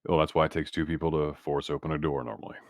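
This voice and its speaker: A man with a deep voice, recorded close and dry, plays a tabletop roleplaying game. He speaks casually in character and has a wry, sardonic way of putting things. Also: fairly even intonation, fast talker, no echo.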